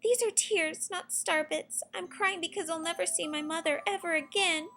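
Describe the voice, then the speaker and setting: A woman voicing an earnest, spirited little girl in a storybook, expressive and childlike. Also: extremely high pitch, normal speed, slight background noise, voice pushed up, full character voice. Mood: sad. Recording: music behind the voice.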